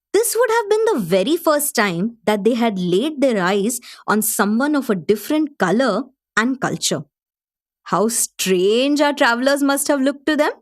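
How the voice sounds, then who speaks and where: Lively Indian literary hostess. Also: female voice, on the high side. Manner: engaged, articulate storytelling. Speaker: host. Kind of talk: radio play, scripted presenting.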